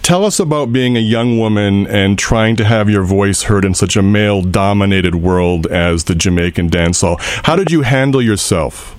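A male radio interviewer with a lowish voice asks polite, quick questions. The sound is dry and close. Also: no room sound.

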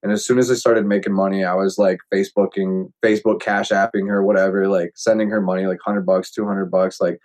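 A low-voiced man in his late twenties talks easily in a relaxed conversation. He rambles, restarts often and leans on "like" between thoughts. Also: brisk, hardly any pauses. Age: 20s. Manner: rambling, heavy use of "like". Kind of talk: casual chat.

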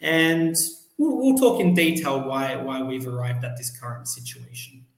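A young man with an Australian accent answers interview questions in a measured, explanatory way, often pausing on um and uh. His pitch is an everyday middle. The recording is echoey, as if from a bare room. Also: sing-song. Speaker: guest, journalist and PhD student.

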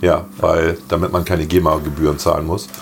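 A hoarse man with a slight cough, co-hosting a podcast in casual, joking chat and laughing often. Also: extremely low pitch, flat delivery, brisk, slight background noise. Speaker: podcast co-host.

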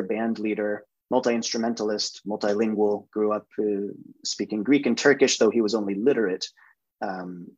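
A man giving an academic lecture from slides, halting and full of fillers and self-corrections. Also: medium pitch, breathy edge, lo-fi sound.

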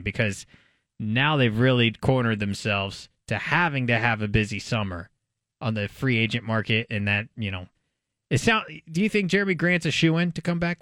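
An American man co-hosting a sports talk show, speaking in a casual, animated, conversational way. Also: mid-range voice, no room sound, clean studio sound. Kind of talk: casual sports radio discussion.

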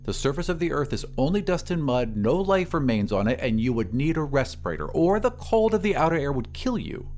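A man's voice: medium pitch, sing-song, brisk, few pauses, darkish tone, faint music behind. Man voicing a prim, aloof mother, swooping intonation. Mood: controlled disapproval. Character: irritable, shocked, aloof mother in a futuristic society. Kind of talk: audio drama character dialogue.

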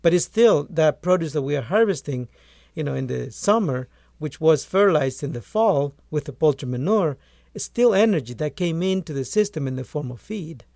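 Gravelly man from Guatemala. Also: on the high side, animated, moderate pace, hardly any pauses, darkish tone, no room sound, clean studio sound. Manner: earnest, restarts phrases often. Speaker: poultry farmer and author. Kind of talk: conversational interview answers.

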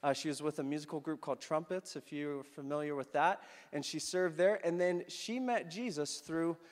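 A man's voice: a little high, normal speed. A man in his thirties who grew up in the Philippines, a guest preacher telling his story in an upbeat, joking way to the congregation. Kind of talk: sermon with personal story. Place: church hall. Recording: long church hall reverb.

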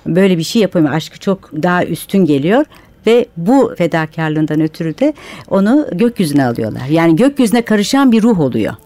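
A woman's voice: on the low side, very animated, a little fast, dark tone, dry recording. A woman author as a radio guest, speaking thoughtfully and retelling old myths at length. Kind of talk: conversational interview. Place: radio studio.

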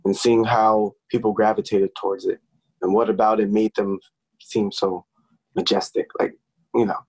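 A nineteen-year-old male musician talking casually in an interview, halting, with many fillers and restarts. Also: fairly low pitch, level tone, slightly rough, low-quality recording. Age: young adult. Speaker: musician guest.

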